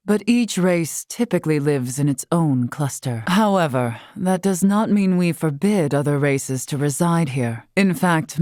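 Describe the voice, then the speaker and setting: An actress playing a wise, long-lived female scholar in a video game, composed and curious, speaking in formal, measured, eloquent phrases. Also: low-pitched, no echo, clean studio sound. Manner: formal, measured, eloquent, softly breathy at times. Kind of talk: scripted video game character dialogue.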